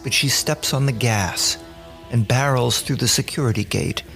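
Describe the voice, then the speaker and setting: A man's voice: medium pitch, normal speed, gravelly. A man narrating a scripted audio drama as the storyteller. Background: music bed.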